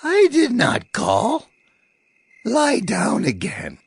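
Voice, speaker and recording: An actor playing an old, weary priest and father in a dramatized scripture story, speaking slowly and solemnly. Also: sits high, huge pitch swings, gravelly, slightly rough, no room sound, exaggerated voice. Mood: gentle, weary. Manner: slow, drawn-out, solemn. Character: old weary priest, grieving father rebuking his sons. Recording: music behind the voice.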